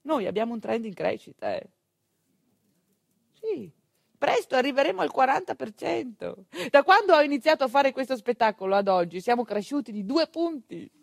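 A fairly high-pitched woman performs a storytelling monologue. She speaks to her audience in an emphatic, conversational way, pausing and repeating for effect. The sound is very dry, with almost no room echo. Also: sing-song, vocal fry. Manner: emphatic, conversational, with deliberate pauses and repetitions. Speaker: stage actress and monologist.

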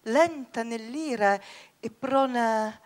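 An actress playing a cheeky housekeeper in a comic classical radio drama, teasing her betters with mock-learned pomp. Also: a little high. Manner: mock-pompous, teasing, drawn-out.

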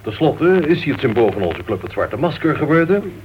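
A hearty, outspoken clubman , played by a male actor with a very animated, mid-pitched delivery. He sounds like radio drama on a dull old recording. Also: brisk, lightly acted. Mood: good-natured. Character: jovial, thirsty crime-writer club member. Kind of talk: radio play dialogue. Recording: dull, faintly noisy vintage radio recording.

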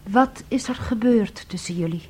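An actress in a Dutch radio play playing a bitter, possessive, jealous sister with an intense, accusing manner. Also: female voice, mid-range voice, moderate pace, warm tone, lightly acted. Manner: intense, accusing, shifting between whispers and outbursts. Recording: noisy old radio play recording.

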